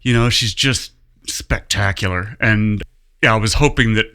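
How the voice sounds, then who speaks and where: A man's voice: fairly low pitch, measured pace, bright, forceful tone, smooth. An American man, a theatre director, chatting casually in an interview. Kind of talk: casual chat.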